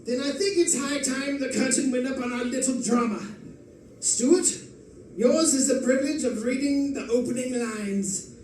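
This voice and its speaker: Gleeful scheming crook boss for a radio play, florid and condescending, super echoey, far from mic. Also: male voice, very high voice, normal speed, darkish tone. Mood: commanding, theatrical. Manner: theatrical, condescending, chuckling, florid. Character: gleeful, erudite, scheming male criminal mastermind in prison. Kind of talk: old-time radio drama acting. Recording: distant, very echoey live stage recording, faint noise.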